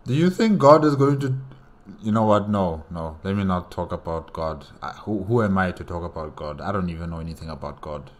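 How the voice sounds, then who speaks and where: A man's voice: low-pitched, lively intonation, fairly quick. A man hosting a solo reaction, commenting casually and informally and often repeating himself. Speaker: reaction video host.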